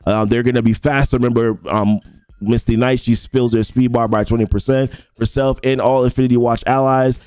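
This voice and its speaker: A man's voice: medium pitch, steady tone, brisk, a little mellow, clean tone, no room sound, studio quality. A young adult man giving casual commentary on a mobile game update. He reads ability text aloud and rambles with frequent restarts. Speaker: mobile game content creator. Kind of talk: casual game commentary reading patch notes.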